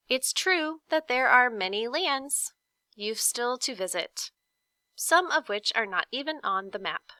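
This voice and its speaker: A woman voicing the unknown writer of a formal, kindly letter in a storybook, in a measured way. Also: on the high side, sharp tone, no room sound, heavily acted.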